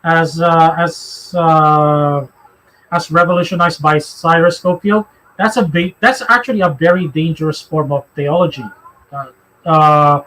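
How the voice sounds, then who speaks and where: A man from the Philippines with a Filipino accent, hosting a religious discussion in a halting way, often repeating phrases. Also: fairly high pitch, fairly even intonation, sharp tone, poor audio. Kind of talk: live religious discussion, answering questions.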